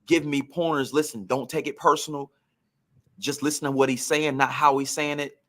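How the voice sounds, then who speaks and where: A man's voice: medium pitch, fairly even intonation, brisk, a bit bright. A man, a former pro football receiver, telling career stories on a podcast in a reflective, emphatic way.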